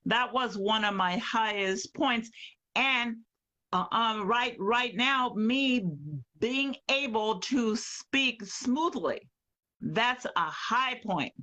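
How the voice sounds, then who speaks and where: A woman's voice: on the high side, slow, pushed and bright. A woman guest in an interview, speaking earnestly with hesitations and fillers. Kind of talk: casual interview chat.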